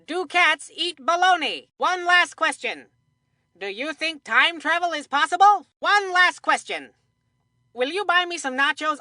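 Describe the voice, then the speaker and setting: A woman's voice: high voice, pushed and bright, no room sound, studio quality, full character voice. A woman voicing an eager, chatty boy who works as a sports commentator in a cartoon video game, with a folksy, earnest announcer delivery. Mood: earnest interviewing.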